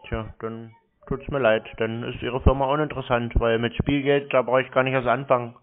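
A man's voice: mid-range voice, flat delivery, a little fast. A man chatting casually on the phone in a blunt, dismissive, nonchalant manner. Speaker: host taking scam calls. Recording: muffled tinny phone-like line.

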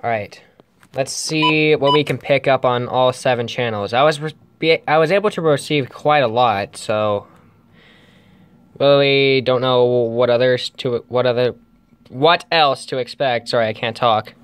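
A man giving casual, halting commentary as a hobbyist demonstrating a gadget. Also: medium pitch, clear, resonant tone. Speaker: radio hobbyist vlogger.

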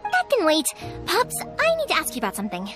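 A woman voicing a cheerful, spirited young fireworks maker in a video game, chatty and emphatic, with warm-hearted casual delivery. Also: very high voice, wildly swooping pitch, fast, music in the background, heavily acted. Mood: insistent. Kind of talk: expressive video game dialogue acting.

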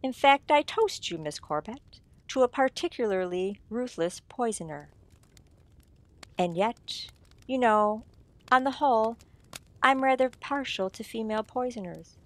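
A woman's voice: fairly high pitch, lively intonation, measured pace. A woman playing a sly, gloating sleuth in a radio mystery, with a theatrical, mocking drawl. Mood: smug, amused. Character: sly, gloating amateur sleuth taunting a poisoner.

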